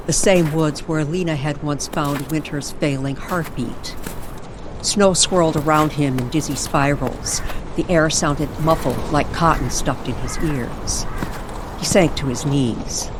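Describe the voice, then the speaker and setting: Slow-paced female storyteller over noisy ambience. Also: sits low. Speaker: narrator. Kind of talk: scripted atmospheric story narration.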